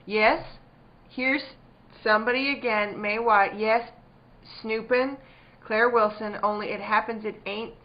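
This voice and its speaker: A woman playing a practical, scolding, protective rural widow with folksy, fussing speech in an old-time radio drama. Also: a little high. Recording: muffled live stage recording.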